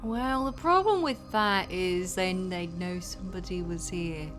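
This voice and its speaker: A woman's voice: lowish voice, a little slow, fairly bright tone. A woman playing a tabletop roleplaying game, chatting casually and improvising in character. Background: music.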